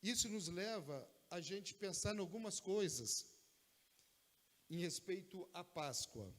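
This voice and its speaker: A man with a Brazilian Portuguese accent preaches a sermon in an easy, conversational tone. His voice sits a little high, and he often adds short asides to bring the congregation along. A long church reverb trails behind every phrase. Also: lively intonation, takes it slowly. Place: church sanctuary.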